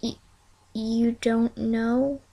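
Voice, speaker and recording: A woman's voice: on the high side, little pitch movement, extremely slow, gravelly, dark tone, exaggerated voice. A woman voicing a sensible but easily exasperated young female pony in a fan story, speaking plainly and earnestly. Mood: worried.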